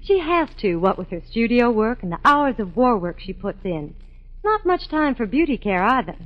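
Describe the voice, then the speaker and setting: A woman's voice: fairly high pitch, big pitch swings, normal speed. An American woman giving scripted, chatty fashion and beauty tips as a 1940s radio reporter. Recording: dull old 1940s radio broadcast.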